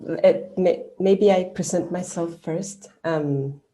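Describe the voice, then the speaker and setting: A woman, an actress and playwright speaking slowly and apologetically as a guest on a video call panel. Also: lowish voice, dark tone. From Chile. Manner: slow, apologetic about her English. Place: home room.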